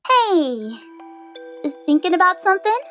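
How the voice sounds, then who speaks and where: Woman playing a very high, bubbly teasing death goddess. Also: big pitch swings, unhurried, a bit bright, soft background music, full character voice. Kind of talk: amateur audio drama acting.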